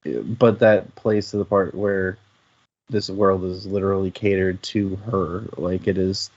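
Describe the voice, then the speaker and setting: Man, low-pitched voice, casual discussion style, thoughtful and analytical, slightly dull lo-fi recording. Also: steady tone, measured pace, darkish tone. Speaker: book discussion panelist.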